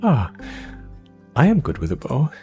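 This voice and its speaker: A man's voice: medium pitch, huge pitch swings, measured pace, warm tone, voice pushed up. A man voicing an anxious, self-doubting young adventurer from the forest, stammering and rambling in a visual novel. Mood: eager, nervous. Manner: nervous, hesitant stammering and rambling. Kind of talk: visual novel character read-aloud. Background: music.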